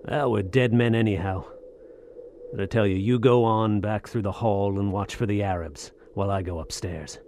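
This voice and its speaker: An actor playing a bold, greedy Western soldier of fortune in an audio drama, speaking in halting, theatrical phrases. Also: male voice, fairly low pitch, fairly even intonation, mellow, slight background noise, lightly acted. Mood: resolute.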